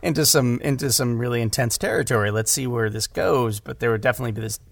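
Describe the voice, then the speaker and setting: A man in a casual conversation as a podcast guest and director, halting and rephrasing as he goes and acting out bits of imagined dialogue. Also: medium pitch, gravelly, no echo.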